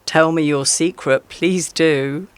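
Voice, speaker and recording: An actor playing a cunning female fossa in a radio play, talking in drawn-out, honeyed flattery. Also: medium pitch, clear, resonant tone, no room sound, natural voice. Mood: sly, coaxing.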